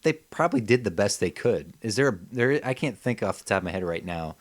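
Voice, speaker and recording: A man co-hosting a casual comedic chat, thinking aloud and joking as he improvises pitches. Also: animated, a little fast. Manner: jokey, thinking aloud, acts out bits. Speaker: podcast co-host.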